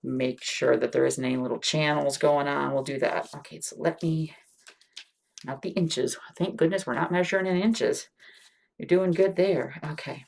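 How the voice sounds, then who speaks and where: A woman doing an ASMR medical exam roleplay as a wound care clinician, talking gently and reassuringly, with many soft "okay"s and whispered asides. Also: deep voice, moderate pace, vocal fry.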